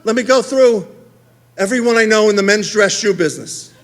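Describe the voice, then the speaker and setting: A middle-aged man, telling a stand-up story on a theater stage and acting out the dialogue in quick back-and-forth. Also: high-pitched. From Queens, New York. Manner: animated, acts out dialogue in quick exchanges. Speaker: stand-up comedian.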